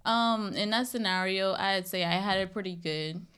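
A woman's voice: medium pitch, normal speed, a bit bright, clean tone. A woman in her twenties from The Bahamas, chatting casually as a podcast guest. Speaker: podcast guest sharing own experience. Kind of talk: casual chat.

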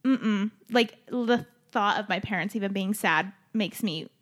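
A woman's voice: medium pitch, unhurried. A young woman co-hosting a podcast, chatting casually and animatedly, laughing often and arguing her points. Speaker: podcast co-host. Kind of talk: casual chat.

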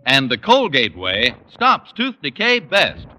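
A man's voice: medium pitch, wildly swooping pitch, slow, loud music behind the voice. A man with an American accent reading a 1950s radio advertisement with emphatic, punchy salesmanship. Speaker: commercial announcer. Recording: dull, noisy old radio recording.

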